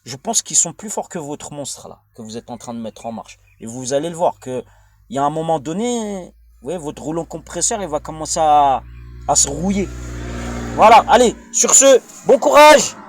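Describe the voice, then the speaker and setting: A man's voice: a little high, lively intonation, moderate pace. A man from France with a French accent, delivering a rambling informal monologue in a blunt, crude, slangy way, often digressing and repeating himself. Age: adult, father of a young child. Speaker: vlogger.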